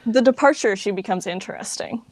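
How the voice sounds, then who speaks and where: A woman's voice: mid-range voice, brisk, darkish tone, dry recording. A woman chatting casually as an interview guest. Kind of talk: casual chat.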